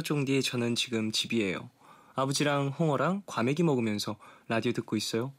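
A young man in his twenties hosting a late-night radio show, chatting politely with phone-in listeners and laughing often. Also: mid-range voice. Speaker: radio host. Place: radio studio.

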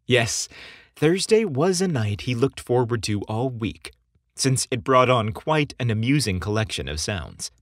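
Husky male storyteller, very animated. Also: fairly low pitch, no room sound, clean studio sound. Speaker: podcast host and narrator. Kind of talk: scripted story narration. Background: music behind the voice.

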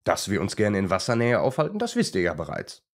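A man's voice: lowish voice, huge pitch swings, quick pace. A man voicing a proud, enthusiastic male crested newt in a children's story, chatty and keen to explain. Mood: proud, friendly.

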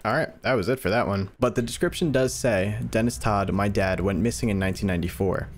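A man's voice: lowish voice, fast, a little hiss or hum. A man in his twenties hosting reaction videos with casual commentary, close on a desk microphone. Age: 20s. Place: small furnished room indoors.

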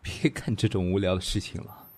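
An actor playing a composed, shrewd, gently teasing businessman in an audio drama, speaking calmly and dryly. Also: low-pitched, a little fast, slightly rough. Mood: amused. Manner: calm, concise, dry. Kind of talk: Chinese audio drama acting.

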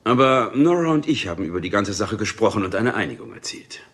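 Actor playing a curt studio executive. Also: male voice, on the low side, expressive, fast, lightly acted. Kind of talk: German-dubbed crime drama.

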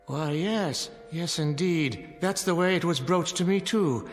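An actor playing a mild, wordy reverend who rambles hesitantly, in a radio drama. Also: male voice, fairly high pitch, measured pace, in a large hall, soft background music, lightly acted. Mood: flustered.

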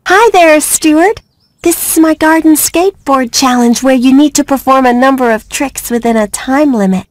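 An actress playing a friendly, upbeat little bird who gives encouraging instructions in an animated video game. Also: female voice, high voice, normal speed, no echo, natural voice. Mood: cheerful, encouraging.

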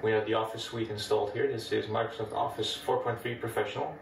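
A man's voice: on the low side, quick pace, gravelly, a little hiss or hum. A man with a Dutch accent, a native Dutch speaker, casually narrating a step-by-step demo of an old computer in a room full of old machines. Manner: relaxed, chatty, explains step by step. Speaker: retro computing video host. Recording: echoey room, mic at a distance.

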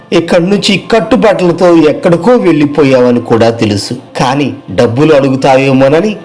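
A man's voice: mid-range voice, darkish tone, natural voice. A humbled beggar who was once a rich businessman acted out by a man confesses with heavy remorse. His delivery is pleading and emphatic, in the manner of a storybook moral drama.

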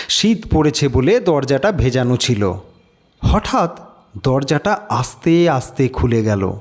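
A man narrating a scripted horror story in a dramatic, measured, suspenseful way. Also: fairly high pitch, darkish tone.

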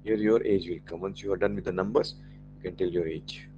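A man with an Indian accent, whose first language is Marathi, teaching an online German class, slowly repeating each German phrase for his learners. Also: low voice, steady tone, gravelly, dark tone, muffled recording, slight background noise.

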